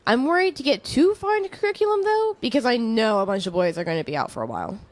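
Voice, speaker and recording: Woman playing a measured middle-aged professor. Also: fairly high pitch, very animated. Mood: friendly, concerned. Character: middle-aged female chemistry professor, friendly and reflective. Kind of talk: conversational audio drama acting.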